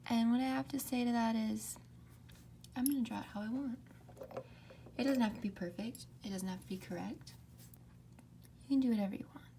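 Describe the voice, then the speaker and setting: A young woman hosting a calm ASMR painting tutorial, speaking softly and often slipping into whispers. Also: fairly high pitch, vocal fry, slight background noise. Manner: soft, often whispered, unhurried. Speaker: art tutorial host. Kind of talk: soft-spoken ASMR drawing tutorial.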